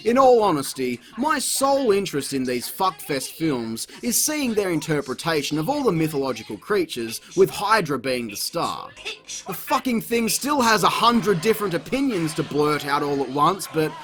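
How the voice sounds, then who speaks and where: High-pitched, animated man ranting in review. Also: normal speed. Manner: sweary, exasperated, sarcastic delivery. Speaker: host of a video review show. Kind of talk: comedic scripted review narration.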